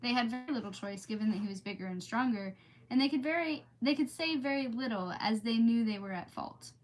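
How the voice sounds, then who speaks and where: Higher-pitched woman, chatty and informal. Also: brisk. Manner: chatty, informal, easily sidetracked. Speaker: livestream host reading aloud. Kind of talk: casual livestream chat and storybook read-aloud.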